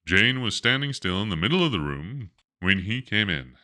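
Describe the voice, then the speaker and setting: A man reading a bedtime story aloud in an animated, expressive storybook style. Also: on the low side, normal speed.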